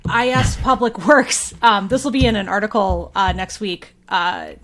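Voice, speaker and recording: Animated woman in casual podcast conversation. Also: medium pitch, a little slow. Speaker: local news reporter, podcast guest.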